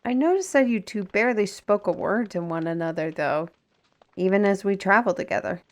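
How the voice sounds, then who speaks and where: Woman acting sarcastic young artist lady in a fantasy audio drama, mid pitched, defiant, doesn't suffer fools. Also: expressive, a little fast, close to an everyday voice. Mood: wry. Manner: sarcastic, pointed. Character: defiant, sardonic young female artist steward in a fantasy world.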